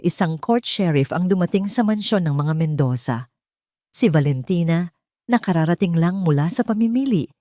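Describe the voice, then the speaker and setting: Story narrator: Filipino woman, low register, dramatic scripted read, dry studio-like sound. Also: mellow, no room sound.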